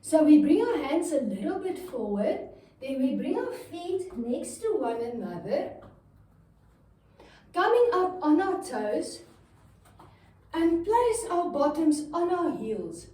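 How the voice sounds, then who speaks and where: A woman's voice: sits high, big pitch swings, slow, long pauses, dark tone. A woman telling a children's story while guiding young listeners through yoga movements with simple, encouraging step-by-step instructions. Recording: very echoey room, distant microphone.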